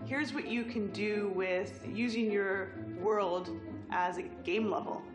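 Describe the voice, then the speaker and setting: A woman's voice: mid-range voice, slow. A woman speaking as a developer in a promotional interview testimonial. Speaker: technology developer. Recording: roomy sound with music bed. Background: music.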